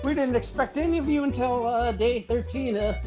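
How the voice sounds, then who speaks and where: A woman's voice: a little high, moderate pace, dark tone, soft background music, lightly acted. A woman playing a flustered, hesitant club employee in an old-time radio comedy. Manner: dithering, hesitant, stammering.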